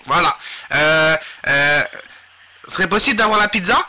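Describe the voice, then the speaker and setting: A man hosting a live webradio prank show, talking in a crude, slangy, excitable way. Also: on the high side, normal speed, music in the background. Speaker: webradio host and prank caller. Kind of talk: informal live radio prank-call show banter. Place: webradio studio.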